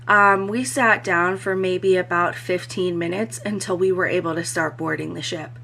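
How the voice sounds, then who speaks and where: A woman talking casually as a cruise vlogger, her voice a bit hoarse from crying the day before, with lots of "um" fillers. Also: fairly low pitch, fairly even intonation, moderate pace, sharp tone, a little hiss or hum. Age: adult. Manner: chatty, frequent "um" fillers. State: slightly hoarse voice after crying. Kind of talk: casual review vlog.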